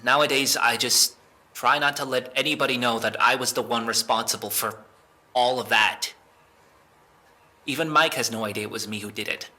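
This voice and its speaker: An actor playing a defensive young man in an audio drama, halting and sweary, insisting he is innocent. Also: medium pitch, pushed and bright. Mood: uneasy, confessing. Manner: halting, sweary, emotional delivery.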